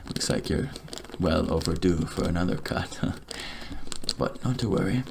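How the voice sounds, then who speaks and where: A man speaking softly in a breathy half-whisper, gentle and courteous, as a barber in an ASMR roleplay. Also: sits low, expressive, background noise. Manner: gentle, courteous, unhurried reassurance. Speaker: ASMR creator playing a night-shift barber.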